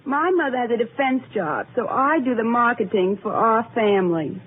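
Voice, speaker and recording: A woman's voice: fairly high pitch, animated, normal speed, slight background noise. A teenage girl with an American accent earnestly reciting a scripted 1940s radio appeal. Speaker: public service spot speaker. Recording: tinny, narrow old radio recording.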